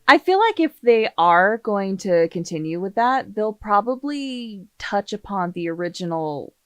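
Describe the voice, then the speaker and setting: A woman's voice: medium pitch, big pitch swings, a little slow, fairly bright tone, no room sound. A woman chatting casually as a podcast panelist, an enthusiastic and knowledgeable fan.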